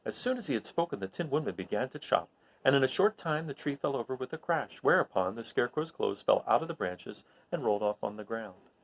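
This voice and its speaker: A man reading a children's story aloud expressively, in an animated way that addresses his listeners. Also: mid-range voice, fairly quick, creaky, rough recording. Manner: animated, recapping with questions to listeners.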